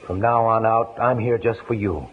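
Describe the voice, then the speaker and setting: A man's voice: fairly low pitch, normal speed, natural voice. An actor playing an earnest, fatherly ex-convict evangelist in a persuasive, plain-spoken 1950s radio style. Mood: earnest, urging. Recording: noisy, tinny 1950s radio broadcast.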